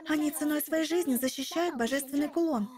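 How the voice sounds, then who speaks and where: A woman playing a composed, knowledgeable teenage girl in a dubbed animated fantasy, explaining things earnestly. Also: high-pitched, fairly quick, a crowd in the background, natural voice.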